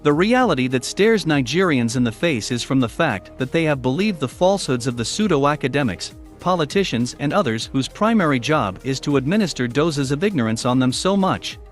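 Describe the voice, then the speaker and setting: A man reading a nonfiction book aloud in an even, measured way. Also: mid-range voice, faint music behind. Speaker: audiobook reader.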